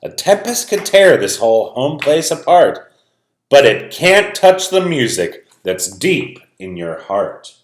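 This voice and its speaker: A man's voice: medium pitch, sing-song, slow pace. A man voicing a kindly old farm father in a storybook, earnest, folksy and comforting. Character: kindly old farm papa, smiling and reassuring. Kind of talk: storybook read-aloud character voice. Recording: roomy, echoing sound.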